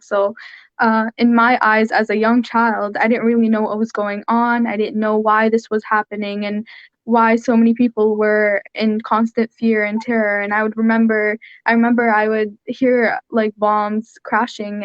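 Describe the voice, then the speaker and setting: A woman's voice: fairly high pitch, no echo, highs cut off. A teenage girl from Syria, a young artist and interview guest, telling her story earnestly over a video call. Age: teens. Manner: earnest, soft-spoken storytelling with frequent "like". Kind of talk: online interview.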